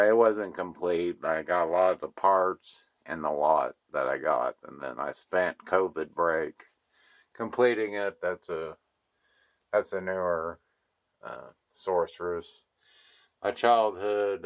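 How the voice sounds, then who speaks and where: Slow, deep-voiced man touring his collection. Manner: unhurried, rambling, many fillers. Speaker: toy collector giving a room tour. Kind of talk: casual walkthrough narration. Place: indoor collection room.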